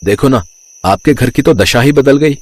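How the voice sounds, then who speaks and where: A man's voice: medium pitch, normal speed, lightly acted. A man voicing a chatty, easygoing young brother-in-law in a cartoon story. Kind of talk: cartoon voice acting.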